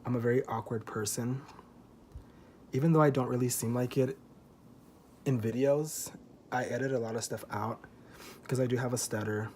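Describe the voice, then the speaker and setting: A man's voice: mid-range voice, fairly even intonation, normal speed, long pauses, darkish tone, slight background noise. A man in his twenties talking candidly to camera in a rambling, self-conscious way, swearing often and saying he feels a little tipsy. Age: 20s. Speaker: vlogger. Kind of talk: candid confessional vlog.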